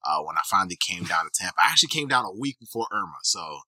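Bright-voiced man hosting a podcast. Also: low voice, very animated, racing. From Kenya. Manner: enthusiastic, loose, many restarts and fillers. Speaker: podcast host, physical therapist. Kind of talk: casual podcast chat.

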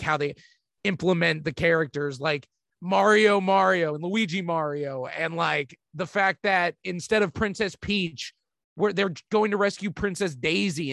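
A man's voice: on the high side, vocal fry, a bit bright, no echo. A man co-hosting a podcast, rambling through casual movie chat with lots of fillers and some swearing. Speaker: podcast co-host.

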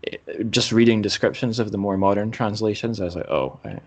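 A man's voice: lowish voice, lively intonation, brisk, a little mellow. A man hosting a podcast interview in an informal, self-deprecating, chatty way. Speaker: podcast host. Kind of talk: casual chat, interviewing.

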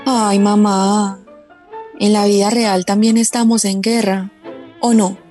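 A woman playing a dreamy, spirited young Colombian daughter in a radio drama, eager and expressive. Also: mid-range voice, takes it slowly, natural voice. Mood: earnest. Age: young adult. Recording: music behind the voice.

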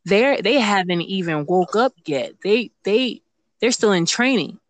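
A woman's voice: medium pitch, animated, unhurried, no echo. A woman in casual spiritual discussion, speaking informally and repeating herself for emphasis.

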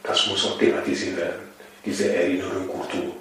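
A man's voice: low voice, level tone, a little fast, a bit bright. A man from Togo whose first languages are Ewe and Mina, speaking as an interview guest with frequent fillers and emphatic repetitions. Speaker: interview guest, initiative member. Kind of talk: conversational interview. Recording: far from mic, echoey room, faint noise.

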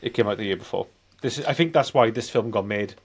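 A man in his forties talks casually about films as a podcast co-host. His voice is a little low, and he rambles hesitantly from one thought to the next. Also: fast, husky. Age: 40s. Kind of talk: casual anecdotal film chat.

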